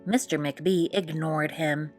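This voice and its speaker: A woman reading a children's picture book aloud as expressive storytime narration. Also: deep voice, slow pace, faint music behind. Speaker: storytime read-aloud host.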